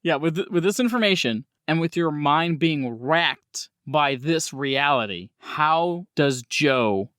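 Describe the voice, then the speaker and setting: Male game master, higher-pitched and animated, conversationally explaining rules and scenes. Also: slow, few pauses, dry recording, studio quality. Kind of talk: running a horror tabletop roleplaying game.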